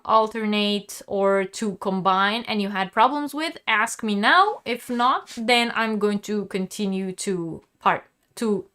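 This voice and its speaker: Hoarse-sounding woman teaching crochet live. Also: on the high side, sharp tone. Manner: chatty, restarts often, answers viewers directly. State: sick, sore throat, losing her voice. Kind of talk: live-stream crochet lesson with chat questions.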